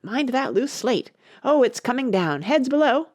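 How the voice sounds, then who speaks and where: A woman's voice: high voice, big pitch swings, normal speed, voice pushed up. A woman voicing rustic male animal workers in a storybook, calling out and bickering. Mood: busy, urgent. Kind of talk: storybook character voices.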